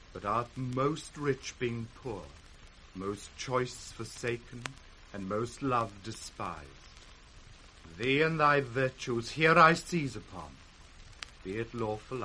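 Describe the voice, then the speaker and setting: A man's voice: mid-range voice, pushed and bright, a little hiss or hum, poor audio. An actor playing a noble, ardent young-hearted king in a 1960s classical radio drama, lofty and earnest. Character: noble, ardent king of France, honourable suitor.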